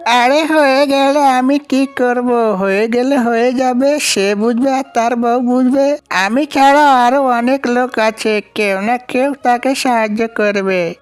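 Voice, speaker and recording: A woman's voice: fairly high pitch, steady tone, slow, clear, resonant tone. A woman playing a selfish, dismissive married man in a cartoon story, full of excuses. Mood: dismissive, arrogant.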